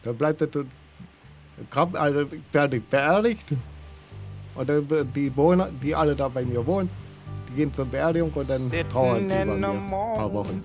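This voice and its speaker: A man's voice: on the high side, lively intonation, moderate pace. A man being interviewed, answering literally in simple, unscripted phrases. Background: music.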